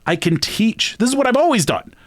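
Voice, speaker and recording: A man hosting a sports betting channel, talking alone to his viewers in a rambling, earnest, conversational way that swings between emphatic bursts and hushed asides. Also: very high voice, very animated, fairly quick, no room sound, studio quality. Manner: long-winded. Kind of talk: reflective solo monologue to camera.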